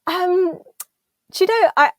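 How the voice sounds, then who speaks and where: A woman's voice: extremely high pitch, slow pace, fairly bright tone, no echo, clean studio sound. A woman with an English accent, a singer chatting as a podcast guest in an enthusiastic, rambling way with many small restarts. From London, England. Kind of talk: casual interview chat.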